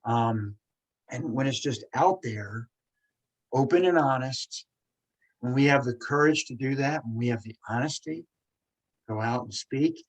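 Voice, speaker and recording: A man's voice: medium pitch, poor audio. A man in his forties who stutters, hosting a podcast and speaking in an earnest, emphatic casual monologue. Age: 40s. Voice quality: stutters with repeated sounds. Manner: earnest, emphatic, quick bursts. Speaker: podcast host.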